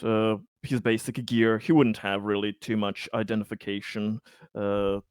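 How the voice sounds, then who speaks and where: A man's voice: lowish voice, little pitch movement, measured pace, clean tone, dry recording, clean studio sound. A man talking casually as a player in a tabletop roleplaying game.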